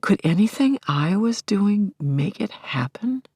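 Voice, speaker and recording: A woman's voice: medium pitch, lively intonation, measured pace, darkish tone, slightly rough, no room sound, clean studio sound. An actress playing a grieving middle-aged widow in an audio play, telling her story in a searching, intimate way that can drop toward a whisper. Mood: wondering, hushed. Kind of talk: dramatic first-person monologue, audio play.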